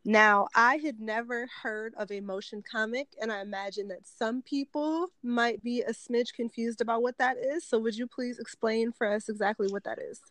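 A woman in her thirties hosts an interview in a chatty, upbeat way. Her voice sits a little high. It is recorded dry and close, with no room echo. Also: moderate pace, few pauses, fairly bright tone. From Detroit, USA. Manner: chatty, upbeat, light laughs. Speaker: podcast host. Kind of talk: casual interview chat.

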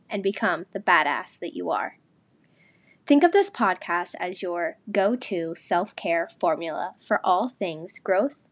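Female host, scripted show intro, energetic and motivational, mid pitch. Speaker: podcast host.

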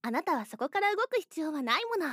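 A woman voice-acting an obsessively lovestruck, possessive young woman in an anime-style audio drama, with breathy, drawn-out declarations. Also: very high-pitched, sing-song, fairly bright tone, heavily acted. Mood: sweetly possessive.

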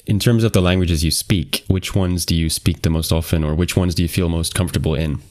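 Young man, podcast host, very low pitch, relaxed, curious, asks follow-ups. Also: brisk. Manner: relaxed, laughs often, asks follow-up questions. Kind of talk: casual interview chat.

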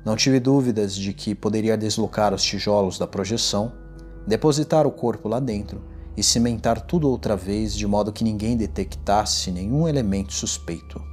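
A man playing an unhinged, gloating condemned murderer who recounts his crime in a deliberate, theatrical gothic horror reading. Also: lowish voice, faint music behind, lightly acted. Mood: cold, methodical. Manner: theatrical, deliberate, swinging from hushed to exultant.

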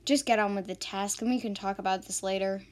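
A woman playing a feisty, exasperated young heroine in an amateur fairy-tale radio drama, sarcastic and excitable. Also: mid-range voice, a little fast, lightly acted.

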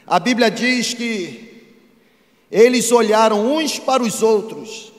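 A Brazilian man delivers a sermon in a very high-pitched voice. He preaches emphatically, building rhetorical weight through repeated phrases. His voice rings with the long reverb of a large hall. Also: expressive, slow. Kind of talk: sermon to a congregation.

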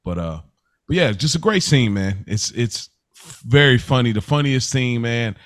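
A man's voice: medium pitch, expressive, normal speed. A man hosting a podcast in a casual chat about a TV show, speaking informally with plenty of slang. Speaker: podcast host. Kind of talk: casual chat reviewing a TV series.

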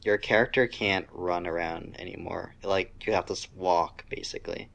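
A man with a deep voice chats casually as a podcast co-host. He talks about video games informally, with a griping, conversational delivery. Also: fairly even intonation, moderate pace, vocal fry, fairly bright tone, muffled recording.

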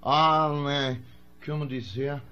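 A man's voice: mid-range voice, slow, lo-fi sound, lightly acted. An actor in a radio drama playing an eager American man who speaks broken Spanish with an English accent.

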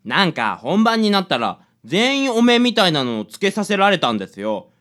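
A man playing a hapless, excitable young sidekick in a radio drama. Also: high voice, normal speed, heavily acted. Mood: grumbling. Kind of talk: community radio drama acting.

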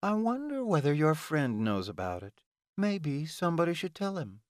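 A man's voice: on the high side, big pitch swings, moderate pace, no room sound, clean studio sound, voice pushed up. A man voicing a scornful, taunting female vampire in an audiobook, with a bitter, insulting manner. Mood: bitter, mocking.

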